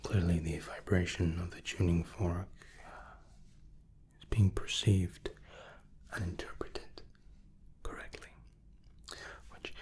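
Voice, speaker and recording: A man doing an ASMR medical roleplay in a soft, breathy whisper, slow, methodical and reassuring as he plays an examiner. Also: low voice.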